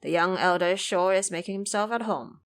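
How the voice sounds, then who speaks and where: A woman's voice: fairly low pitch, moderate pace, close to an everyday voice. A woman voicing an irritable, anxious old male country squire, scolding and fretful, in an audio drama. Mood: wary.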